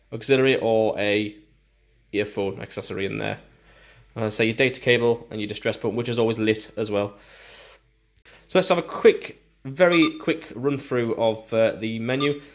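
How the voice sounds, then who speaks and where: A man giving a casual, hands-on product walkthrough, explaining informally and a bit haltingly as he demonstrates. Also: on the low side. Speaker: gear reviewer.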